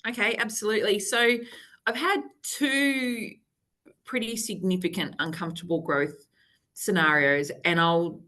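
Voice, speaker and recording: A woman's voice: mid-range voice, fairly even intonation, a little slow, pushed and bright. A woman guest in a podcast interview, chatty and upbeat, laughing as she talks. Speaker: guest, company executive. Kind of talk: casual interview chat.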